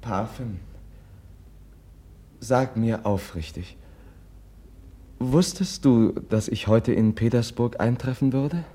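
An actor in a German radio play, playing a gentle, frail young prince who speaks softly, earnestly and hesitantly. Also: male voice, mid-range voice, takes it slowly, long pauses, breathy edge. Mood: earnest, wounded. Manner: soft, hesitant, searching.

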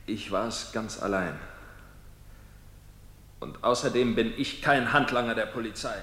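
An actor playing a young defendant who answers quietly and stubbornly in a radio drama. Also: male voice, fairly low pitch, a little slow, echoey room, long reverb tail. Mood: defiant. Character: defiant young male defendant shielding others. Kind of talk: 1950s radio drama acting.

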